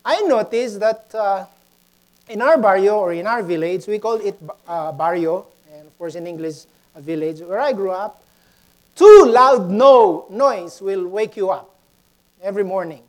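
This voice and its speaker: Female preacher, Filipino accent, unhurried and halting delivery, mid-range pitch, sermon and prayer. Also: expressive, rough recording. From Philippines. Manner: halting, repeats words.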